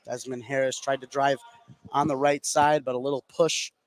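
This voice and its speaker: A man's voice: mid-range voice, level tone, normal speed. An American man calling live basketball play-by-play as a radio announcer. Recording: live gym broadcast, clearly noisy background. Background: noisy gymnasium ambience.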